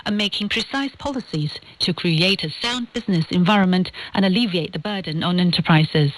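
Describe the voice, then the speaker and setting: A woman news reader delivering a scripted bulletin in a formal, measured manner, heard over shortwave radio. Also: medium pitch, slight background noise, poor audio.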